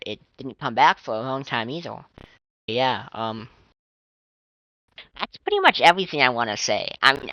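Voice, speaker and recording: A young man with a high, strained and tired voice, rambling casually as a fan commentator with restarts and swearing. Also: pushed and bright, no echo. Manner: chatty, swearing, trailing restarts. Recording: dull lo-fi dry mic.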